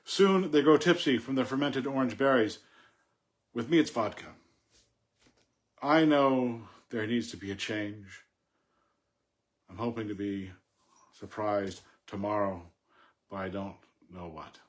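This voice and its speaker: A man reading poetry and commenting on it informally in a measured, thoughtful way. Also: lowish voice. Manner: halting, thinking aloud with self-corrections. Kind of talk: poem recitation and informal unscripted commentary.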